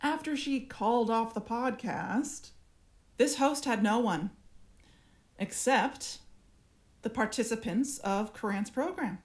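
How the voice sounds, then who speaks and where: Audio drama: actress as cold, self-satisfied female alien infiltrator, formal and deliberate, higher register. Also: darkish tone. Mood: smug, persuasive. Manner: measured, clinical, formal. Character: cool, smug alien parasite speaking through its human host.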